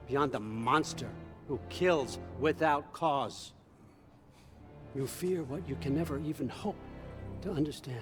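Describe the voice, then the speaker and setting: An actor playing a manipulative, grandiose god in a video game, with a deliberate, theatrical and needling delivery. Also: male voice, medium pitch, lightly acted. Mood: scornful. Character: smooth-talking manipulative god, mocking and grandiose. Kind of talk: cinematic video game acting.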